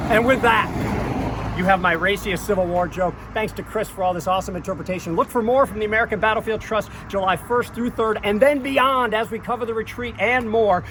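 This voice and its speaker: Chatty man telling stories outdoors. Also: high voice. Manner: chatty, storytelling, rambling asides. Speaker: tour co-host. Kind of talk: outdoor battlefield tour talk. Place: outdoors by a road, beside an old inn. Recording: outdoor road noise.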